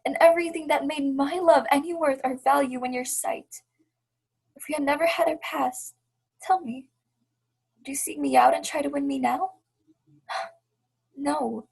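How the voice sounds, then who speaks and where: A woman's voice: sits high, moderate pace, creaky, long pauses, darkish tone. A young woman playing a heartbroken but resolute, dignified fiancée in a radio play, speaking earnest, formal period English. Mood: wounded, resolute. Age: young adult.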